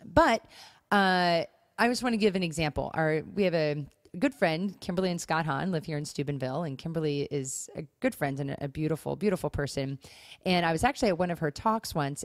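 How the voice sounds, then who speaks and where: A woman's voice: low-pitched, moderate pace, no echo, studio quality. A middle-aged American woman co-hosting a podcast in casual conversation, chatty and emphatic, repeating words for stress. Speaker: podcast co-host.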